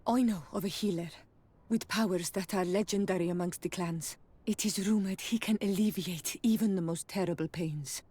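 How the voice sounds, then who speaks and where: A young woman of the clans in a game cutscene, voiced by an actress. She sounds earnest and helpful, with a mellow, husky tone at a medium pitch. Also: normal speed, natural voice. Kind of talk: video game cutscene acting.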